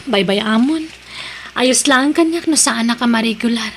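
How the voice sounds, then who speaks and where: Woman playing a high-voiced, polite young Ilocano employee. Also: moderate pace, vocal fry, a little hiss or hum. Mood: earnest. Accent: Filipino, Ilocano. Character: polite, hurt young female junior employee. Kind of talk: Ilocano audio drama acting.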